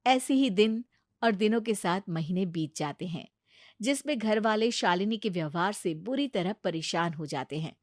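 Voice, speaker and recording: A woman doing scripted narration for a cartoon story, with an even storytelling delivery. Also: mid-range voice, moderate pace, no echo, clean studio sound.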